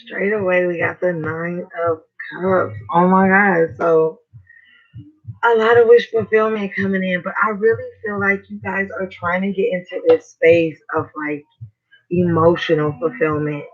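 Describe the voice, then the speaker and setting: A man with a hoarse, raspy voice giving a casual, slangy tarot reading as host. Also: sits high, level tone, measured pace. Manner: slangy, chatty, swears casually. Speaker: tarot reader. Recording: dull lo-fi recording.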